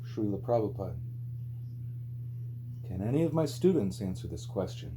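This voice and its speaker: A man reading a spiritual book aloud as scripted audiobook narration, with a mellow voice. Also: sing-song, normal speed. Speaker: audiobook reader. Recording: low hum and background noise.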